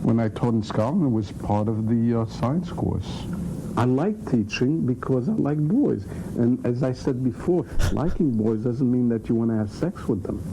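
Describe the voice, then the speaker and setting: A man answers in a halting, searching way, full of long ums. His pitch is middling. The sound is noisy 1990s documentary location audio. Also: expressive. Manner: hesitant, many long ums. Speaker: teacher interviewed in a documentary.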